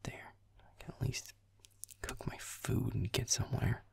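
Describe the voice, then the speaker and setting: A man playing a grumpy, sarcastic werewolf boyfriend in an audio roleplay, muttering irritably over a game in a hushed, gravelly voice. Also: measured pace, no room sound, whispered, lightly acted. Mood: grudging, annoyed. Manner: sardonic, irritable, swearing under breath.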